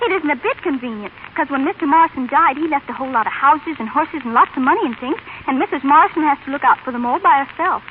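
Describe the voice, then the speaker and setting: Casting: actress as well-mannered, affectionate teenage daughter, high girlish voice, American, gushing delivery, vintage radio drama, narrow tinny sound. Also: fast, few pauses, close to an everyday voice. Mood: earnest, admiring. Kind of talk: 1930s-1950s American radio drama acting. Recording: tinny, band-limited old radio broadcast, faint noise.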